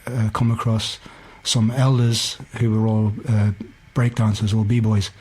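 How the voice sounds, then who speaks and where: A man's voice: lowish voice, little pitch movement, a little slow, darkish tone, husky, rough recording. A man in his forties with a Belfast accent talks casually and candidly, telling stories and often tagging phrases with "you know". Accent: Belfast Northern Irish. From West Belfast, Northern Ireland. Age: 40s. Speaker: podcast guest, hip-hop DJ. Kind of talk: casual conversational storytelling.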